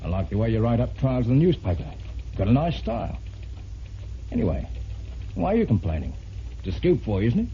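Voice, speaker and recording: A man's voice: mid-range voice, sing-song, fairly quick, long pauses. An actor playing an evasive, defensive male murder suspect in a vintage radio drama. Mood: insistent, cool. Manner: hesitant, stammering, defensive. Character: evasive, nervous male murder suspect who later confesses. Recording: muffled, noisy vintage radio recording.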